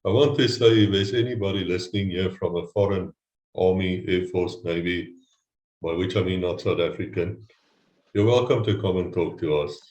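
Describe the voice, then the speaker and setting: A man hosts an interview in a very low voice, earnest and respectful toward his guest. His voice rings in a noticeably roomy space. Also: moderate pace, dull audio. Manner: earnest, warm praise of guest. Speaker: host. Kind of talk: casual interview talk.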